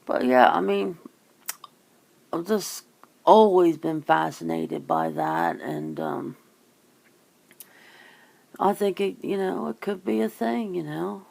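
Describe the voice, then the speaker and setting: Low, creaky-voiced woman chatting casually. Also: slow pace, long pauses, darkish tone. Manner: musing, informal, swears casually. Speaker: ASMR channel host chatting with a guest. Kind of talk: casual, rambling phone chat.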